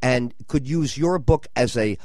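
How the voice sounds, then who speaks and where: A man's voice: medium pitch, unhurried, darkish tone, no echo, studio quality. A man hosting a conversational radio talk show, with an effusive manner and dramatic phrasing.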